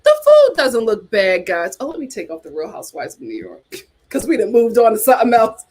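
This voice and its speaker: A woman's voice: a little high, huge pitch swings, a little fast, a little mellow. An American woman hosting a casual chat show, reading aloud and commenting with playful, teasing asides. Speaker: host of a reality TV chat show.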